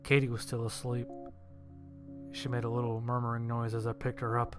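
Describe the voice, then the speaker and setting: A man's voice: mid-range voice, completely flat delivery, brisk. A man reading a first-person horror story as a dramatic audio narrator. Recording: music bed behind the voice.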